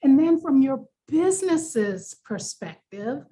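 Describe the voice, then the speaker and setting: A woman's voice: high voice, very animated, slow pace, dark tone. A woman with a New York accent presenting a workshop in a conversational, encouraging, explanatory way, leaning on key words for emphasis. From New York, United States. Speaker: workshop presenter, business coach.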